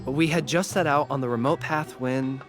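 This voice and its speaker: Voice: mid-range voice, moderate pace, music in the background, lightly acted. An actor playing a jumpy, aggrieved desert caravan leader in a video game, agitated and defensive.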